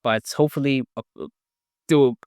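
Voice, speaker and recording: A young man in his twenties from India, a podcast guest talking casually with many pauses, fillers and restarts. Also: mid-range voice, a little mellow, clear, resonant tone, dry recording, studio quality. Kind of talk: casual interview, sharing personal story.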